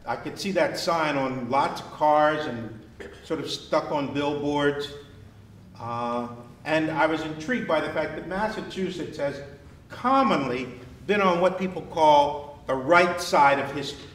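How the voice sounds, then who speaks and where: Deliberate American man lecturing, distant and echoey. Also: fairly high pitch, a bit bright. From New Jersey, United States. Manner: measured, deliberate, emphatic pauses on key words. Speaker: living-history presenter in Civil War uniform. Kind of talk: educational history talk to a student group. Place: wood-panelled memorial hall, indoors, with student audience. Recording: distant mic, echoey room, background noise.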